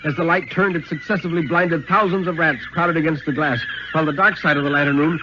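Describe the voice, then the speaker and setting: A man giving a theatrical, suspenseful dramatic reading of a first-person thriller in 1950s radio style, with deliberate phrasing. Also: fairly high pitch, fairly even intonation, noisy background. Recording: dull vintage 1950s radio recording.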